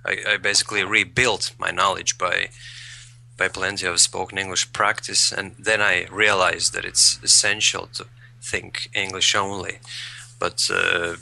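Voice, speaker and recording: A man's voice: low-pitched, level tone, measured pace, creaky, bright, forceful tone, poor audio. A man, a guest in an interview, speaking thoughtfully and repeating words as he searches for phrasing. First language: Latvian. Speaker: guest, English learner. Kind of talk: conversational interview.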